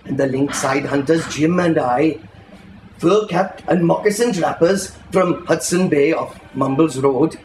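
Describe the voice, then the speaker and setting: A man giving a theatrical, expressive literary reading, savouring the phrases as a storyteller. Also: on the high side, measured pace, soft background music. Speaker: reader, storyteller. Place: live reading in an indoor shop. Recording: echoey room with faint background noise.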